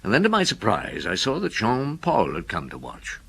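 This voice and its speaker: An older detective looking back in a radio play. The voice is very deep and husky, reflective and wry, rising and falling expressively as he narrates. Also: wildly swooping pitch, fairly bright tone, exaggerated voice. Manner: reflective, deliberate. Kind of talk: first-person radio drama narration.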